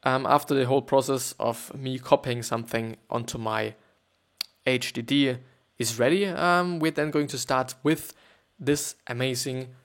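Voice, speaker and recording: A man's voice: mid-range voice, steady tone, unhurried. A man from Austria with a German accent, hosting a podcast in a hesitant, rambling way full of fillers and repeated words before he reads a story aloud. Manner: hesitant, repetitive, many fillers. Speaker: podcast host. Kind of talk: rambling casual monologue and reading aloud.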